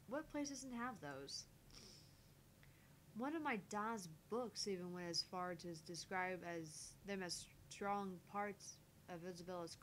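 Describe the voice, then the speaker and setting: A woman's voice: fairly low pitch, a bit bright, a little hiss or hum, poor audio. A woman streamer reading a visual novel aloud in a narrative style, sometimes stumbling and rereading a line. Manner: stumbles over lines and rereads. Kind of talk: reading story aloud with casual asides.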